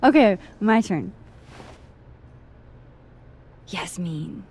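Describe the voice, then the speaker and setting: A woman playing a guarded, dry-witted young academic in a video game, husky and understated in delivery. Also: mid-range voice, expressive, slow, a little mellow. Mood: dry teasing. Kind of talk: naturalistic video game dialogue acting.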